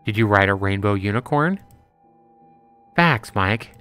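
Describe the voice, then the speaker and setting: A man's voice: lowish voice, animated, a little slow, sharp tone, smooth, faint music behind. A man voicing a skeptical young woman in a visual novel, questioning bluntly with a sarcastic edge. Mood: accusing. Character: skeptical, interrogating young woman demanding proof in mystery.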